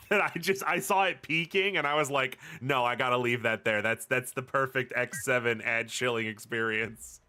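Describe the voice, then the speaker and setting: A man's voice: mid-range voice, normal speed, bright, forceful tone. A man hosting a game livestream, chatting casually in a rambling, joking, sweary way. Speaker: game streamer, host.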